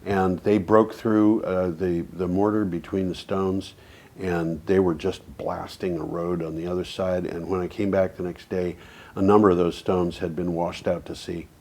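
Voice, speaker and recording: A man's voice: sits low, flat delivery, normal speed, darkish tone. A man co-hosting a local community TV talk show about energy, telling stories in a rambling way, restarting sentences and trailing off. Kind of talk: casual studio chat.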